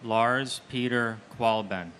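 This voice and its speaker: A man reads names of victims aloud at a memorial ceremony in a steady, solemn way. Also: medium pitch, slow, a little hiss or hum. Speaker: name reader. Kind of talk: memorial ceremony name reading.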